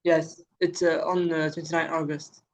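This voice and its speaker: A learner from Saudi Arabia answering English questions slowly, hesitating and filling gaps with "uh". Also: mid-range voice, level tone, creaky. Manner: hesitant, halting, many fillers. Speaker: English student. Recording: muffled, faraway call audio.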